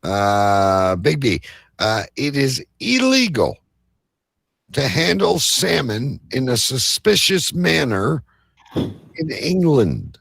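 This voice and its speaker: A man's voice: mid-range voice, sing-song, slow pace, gravelly, a bit bright, slightly rough, dry recording. A man hosting a live quiz show, announcing to the audience like a showman. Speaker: game show host.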